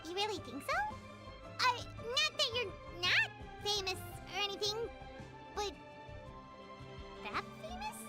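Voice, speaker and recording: A woman voicing a tiny, excitable childlike fairy companion in an anime video game, squeaky and chirpy. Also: slow, pauses often, loud music behind the voice, heavily acted. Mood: chirpy, skeptical. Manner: chirpy, refers to self in third person.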